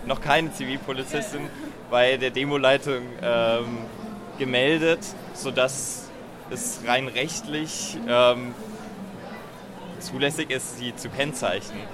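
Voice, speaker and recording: A man, an activist being interviewed outdoors at a street festival, speaking in a halting way with frequent fillers. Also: medium pitch, fairly even intonation. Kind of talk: casual spoken interview. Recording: loud crowd around.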